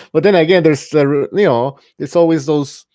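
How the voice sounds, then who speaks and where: A man's voice: on the high side, a little slow, warm tone, no room sound, studio quality. A man co-hosting a casual podcast, talking hesitantly with many "uh" and "like" fillers. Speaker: podcast co-host. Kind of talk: casual talk show chat.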